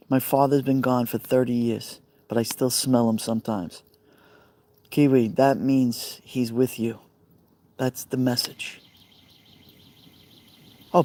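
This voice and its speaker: Brooklyn man, middle-aged, mellow timbre, chatty live streamer by an outdoor fire pit. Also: medium pitch, a little hiss or hum. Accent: Brooklyn New York. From Brooklyn, New York. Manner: chatty, rambling, answers viewer comments by name. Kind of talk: casual live stream chat with viewers.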